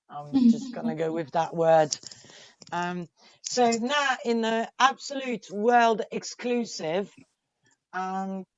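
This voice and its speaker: Woman hosting over dull call audio. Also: mid-range voice. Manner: relaxed, unhurried introductions. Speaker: host. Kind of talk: hosting an online poetry event. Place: home video call with earpieces.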